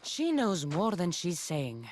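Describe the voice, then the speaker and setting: A woman's voice: lowish voice, very animated, takes it slowly, a little mellow, slight background noise. A woman playing a confident, tough mercenary of ancient Greece in a dramatic video game. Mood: suspicious.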